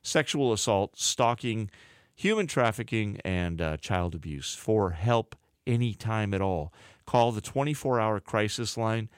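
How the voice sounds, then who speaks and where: A man working as a college radio DJ, reading a public service notice and introducing songs in a casual, unhurried way with frequent "uh" fillers. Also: on the low side, animated, clean tone, no echo, studio quality. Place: radio studio.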